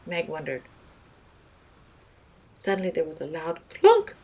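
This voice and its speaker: Female storyteller for children's read-aloud narration; lower pitch, noticeable vocal fry, slight background noise. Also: huge pitch swings, unhurried, mellow.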